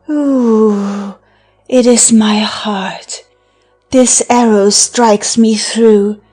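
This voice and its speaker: A woman's voice: on the high side, darkish tone, husky, heavily acted. A woman playing a teasing, mocking seductress with magical wiles in a theatrical audiobook verse drama. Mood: breathless, passionate. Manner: theatrical, taunting, breaking into laughter and sighs.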